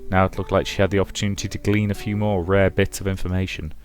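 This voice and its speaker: A man's voice: low voice, little pitch movement, quick pace, soft background music. A man hosting a let's play, reading visual novel narration and adding casual commentary.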